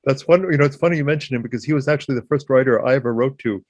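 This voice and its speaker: Quick-talking older man hosting an interview. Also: medium pitch, darkish tone, no echo. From United States. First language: Ukrainian. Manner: quick, eager, restarts phrases. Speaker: interview host. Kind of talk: interview conversation.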